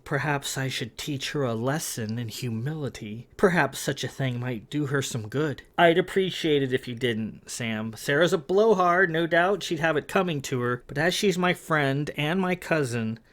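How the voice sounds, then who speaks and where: A man voicing a patient young lord who talks calmly and reasonably in a read-aloud fantasy story. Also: mid-range voice, natural voice. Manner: calm, reasoning.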